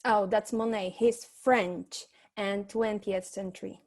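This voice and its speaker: A woman's voice: mid-range voice, steady tone, takes it slowly, darkish tone. A woman playing a private eye's worried, loyal assistant, speaking earnestly in a comic detective radio play. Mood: wary. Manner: earnest, cautioning.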